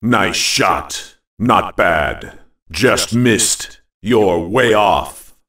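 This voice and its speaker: An actor playing a bold, dramatic video game announcer, making theatrical, punchy calls. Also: male voice, on the low side, animated, drawn-out words, fairly bright tone, reverberant, recorded from a distance, heavily acted. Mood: dramatic, commanding. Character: booming male arcade-style game announcer, bold and dramatic.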